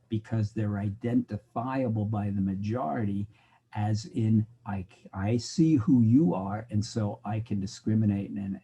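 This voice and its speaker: A man's voice: fairly low pitch, mellow, slight background noise. An older American man giving a recorded sociology lecture, talking in a loose, conversational way with digressions, and acting out the exchanges he quotes. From United States. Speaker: sociology instructor.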